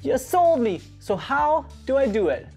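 A man voicing an excitable, easily convinced vlog viewer in a shrill, exaggerated, gushing voice. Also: a little slow, soft background music, heavily acted. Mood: excited, won over. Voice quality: shrill, high put-on voice.

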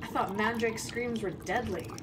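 A woman playing a skeptical, sardonic sidekick in a fantasy audio drama, with a deadpan, teasing manner. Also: on the high side, normal speed, very noisy, lightly acted. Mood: incredulous.

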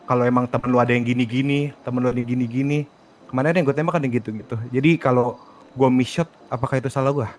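A man's voice: medium pitch, steady tone, rapid-fire, slight background noise. A man arguing insistently in character during an online role-play game. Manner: argumentative, insistent. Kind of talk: in-character argument in a multiplayer game.

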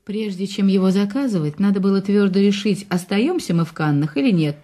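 An actress in a radio drama playing a domineering, mocking wealthy wife, imperious and needling. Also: female voice, medium pitch, brisk, mellow, natural voice. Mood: assertive.

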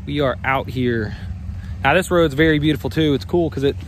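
A man's voice: mid-range voice, fairly quick. A young man talking casually and upbeat as he vlogs a road trip from his van and the outdoors, filmed on a phone held at arm's length. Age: young adult. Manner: laid-back, upbeat, says "freaking sick" a lot. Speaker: vlogger. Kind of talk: casual travel vlog. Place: in a van and outdoors on mountain roads. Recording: outdoor noise on a phone mic.

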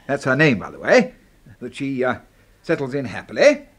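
An actor playing a courteous, middle-aged British retired brigadier in a 1970s radio drama, polite and avuncular. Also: male voice, mid-range voice, wildly swooping pitch, normal speed, fairly bright tone. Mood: slightly evasive, courteous. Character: courteous, genial old-school retired brigadier, boss.

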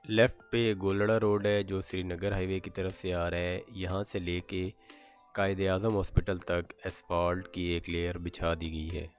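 A man's voice: sits low, little pitch movement, normal speed, faint music behind. A man with a Pakistani accent presenting a construction site update in a factual, matter-of-fact way. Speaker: video presenter.

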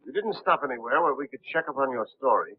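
A man's voice: mid-range voice, lively intonation, fast talker, breathy edge. An actor playing a stern, suspicious man in charge, questioning curtly in a 1930s film. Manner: curt, interrogating. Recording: muffled old 1930s film soundtrack.

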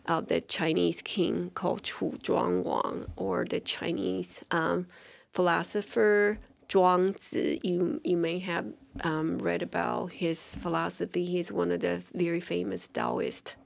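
A woman's voice: lowish voice, fairly even intonation, slow pace, creaky. A middle-aged woman from Taiwan, a university dean being interviewed, speaking thoughtfully with many fillers and restarts on a studio mic. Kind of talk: interview conversation. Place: small bright indoor studio with sofa. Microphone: studio mic on stand.

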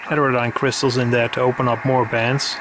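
Male demonstrator, even-toned, methodical and halting, heavy hiss in recording. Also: medium pitch. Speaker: narrator demonstrating vintage radio equipment. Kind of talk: casual unscripted product demonstration. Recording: noisy recording with radio hiss.

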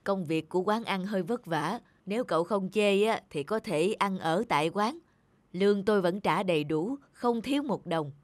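A woman voicing a kindly, worn-out older man who runs a restaurant in an animated cartoon, speaking warmly and with resignation. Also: mid-range voice, moderate pace, no echo, close to an everyday voice. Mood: resigned.

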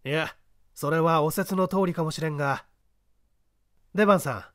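A man's voice: fairly high pitch, normal speed, fairly bright tone, no echo, studio quality, voice pushed up, close to an everyday voice. A man reading an audiobook, voicing a proud, iron-willed detective in a grave, declamatory manner.

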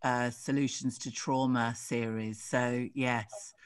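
A woman's voice: very deep voice, steady tone, slow, a little hiss or hum. Effusive British woman hosting an interview. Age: middle-aged. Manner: effusive, exclaiming. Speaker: interview host. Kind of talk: casual interview chat. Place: living room at home. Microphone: video call.

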